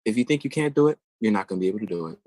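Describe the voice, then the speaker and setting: A low-voiced man answers interview questions about singing. He explains vocal technique patiently and at length, and the recording is dry and close. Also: lively intonation, racing, darkish tone, no echo. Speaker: guest singer.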